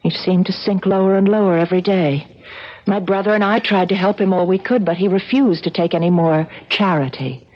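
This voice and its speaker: An actress playing an earnest, sympathetic gallery keeper in love with a troubled artist, in vintage radio drama style. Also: female voice, on the low side, brisk, lightly acted. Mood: sorrowful narrating. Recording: dull, noisy old radio broadcast recording.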